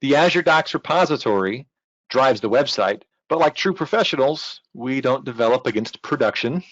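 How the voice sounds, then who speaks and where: A man's voice: mid-range voice, expressive, moderate pace, fairly bright tone, dry recording. Man presenting, dull lo-fi audio. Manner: explanatory, informal asides. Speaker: presenter, technical instructor. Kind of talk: online technical presentation. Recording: dull lo-fi online-call audio.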